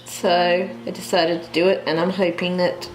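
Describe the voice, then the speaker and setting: A woman's voice: lowish voice, flat delivery, normal speed. A woman hosting a craft tutorial in a casual, chatty way, thinking aloud as she goes step by step. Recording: roomy echo, light background noise.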